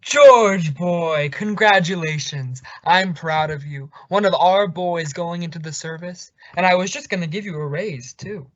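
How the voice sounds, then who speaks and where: A man's voice: on the high side, unhurried, few pauses, lightly acted. A man playing a hearty, stingy, patronizing boss in an old-time radio sitcom, with an effusive, blustery manner. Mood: hearty, proud. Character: Mister Atterbury, hearty, stingy male boss. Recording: dull lo-fi old radio recording.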